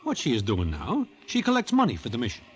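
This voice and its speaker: An actor playing a streetwise gambler's sidekick and storyteller in an old-time radio comedy, with a New York accent and stilted, formal slang delivered deadpan. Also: male voice, medium pitch, quick pace.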